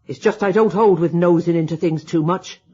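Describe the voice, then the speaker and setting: An actor playing a superstitious older working-class English housekeeper, homely and deferential, in a radio drama. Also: male voice, high voice, fairly quick, mellow, breathy edge. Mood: ominous. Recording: dull lo-fi old radio recording.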